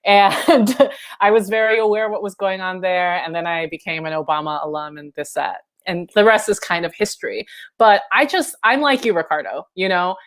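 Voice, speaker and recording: A woman's voice: lowish voice, moderate pace. A woman in her thirties from Honduras, raised in Florida, hosting a casual livestream chat in an informal, chatty way with frequent laughter. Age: 30s. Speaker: livestream host and political organizer.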